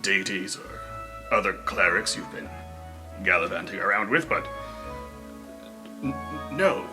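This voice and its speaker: A man's voice: deep voice, animated, a little slow, over music, pitched below the performer's own voice. A man playing a pompous, dim, boastful noble cleric with a love of pastries in an improvised tabletop game. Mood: exasperated. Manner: boastful, literal-minded.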